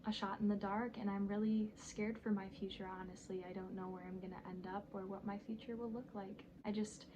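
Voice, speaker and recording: A young woman telling her own story in a personal documentary, earnest and quietly sad, then interviewing others. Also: mid-range voice, little pitch movement, fairly quick, muffled recording, noisy background. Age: young adult. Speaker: student filmmaker and interviewer.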